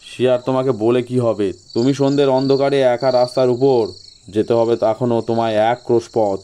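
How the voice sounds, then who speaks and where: A man playing a sly local gentleman in an audio story, giving ominous ghost warnings with feigned reluctance. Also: medium pitch, a little hiss or hum, lightly acted.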